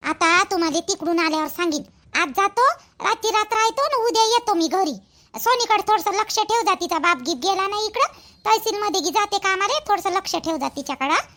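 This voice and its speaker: A woman's voice: very high voice, lively intonation, fairly quick, pushed and bright, heavily acted. A woman voicing a busy, talkative village mother in a cartoon, rambling and repeating herself in colloquial Vidarbha Marathi. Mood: explaining, hurried. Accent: Varhadi Marathi, Vidarbha. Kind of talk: rural family cartoon dialogue.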